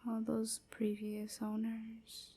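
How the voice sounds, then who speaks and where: A woman plays a wounded female vampire held as a slave in an intimate audio drama. Her voice is dark and soft, husky with breath and often sinking close to a whisper. She confides slowly and hesitantly, gentle and sorrowful. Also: fairly high pitch, completely flat delivery, full character voice. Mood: haunted. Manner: slow, hesitant, softly confiding.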